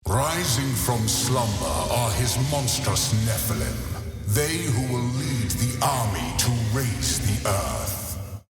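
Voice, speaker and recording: Solemn narrator in heavy echo. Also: male voice, medium pitch, very animated. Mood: grave, epic. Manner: grave, measured, dramatic. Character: solemn, portentous male narrator of a holy war. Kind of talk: scripted video game narration. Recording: heavy echo, distant, with music.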